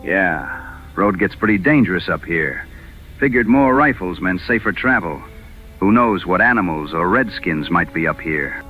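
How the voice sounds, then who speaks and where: A man playing a shaken, low-voiced frontier escort. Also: expressive, normal speed, bright, forceful tone, faint music behind. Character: shaken, wounded rustic wagon escort. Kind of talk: dramatized audio western dialogue.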